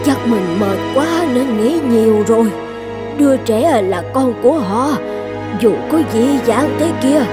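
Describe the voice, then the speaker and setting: A woman voicing a kindly, anxious old village midwife in an animated fairy tale, with an earnest, drawn-out delivery. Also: high voice. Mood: bewildered, worried. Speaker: voice actor.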